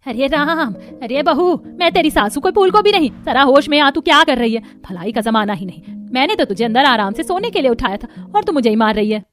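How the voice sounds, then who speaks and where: A woman's voice: high-pitched, lively intonation, a little fast, few pauses, faint music behind. A woman with an Indian accent voicing a scolding, easily flustered middle-aged mother-in-law in a family cartoon, dramatic and full of exclamations. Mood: alarmed, indignant. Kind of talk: animated moral-story voice acting.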